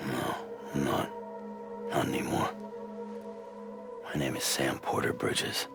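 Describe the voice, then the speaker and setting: A bitter, weary loner in a video game cutscene. The voice is low and heavily gravelled, with curt, cynical delivery, and music runs clearly behind it. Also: male voice, completely flat delivery, slow, heavily acted. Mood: bitter, resigned. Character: bitter, weary, defiant male loner courier. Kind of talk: cinematic game cutscene acting.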